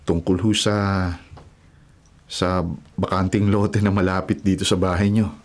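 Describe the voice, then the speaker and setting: A man's voice: low voice, level tone, a little slow. A man playing an uneasy, respectful family friend, earnest and a little hesitant, in a Filipino radio drama. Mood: troubled.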